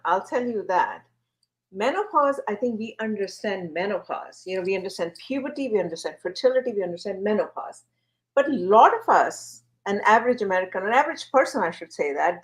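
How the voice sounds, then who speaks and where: A woman who grew up in India answers an interviewer's questions in a lively, earnest way, often pausing on fillers. Her voice sits at an ordinary middle pitch for a woman. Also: rough recording. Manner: animated, earnest, uses fillers. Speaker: guest, wellness platform founder.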